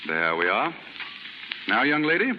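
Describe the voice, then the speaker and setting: An actor playing a kindly, well-off novelist, polite and gently amused, in a 1930s radio drama. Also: male voice, mid-range voice, big pitch swings, measured pace. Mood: attentive. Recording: muffled, very noisy, reverberant 1930s radio recording.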